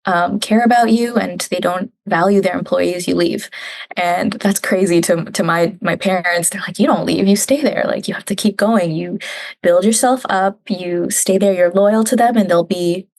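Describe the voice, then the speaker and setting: A woman's voice: fairly low pitch, normal speed, gravelly, a little mellow. A young woman from Canada, a guest in a casual conversational interview, chatting and laughing often as she retells family talks. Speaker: digital specialist, guest.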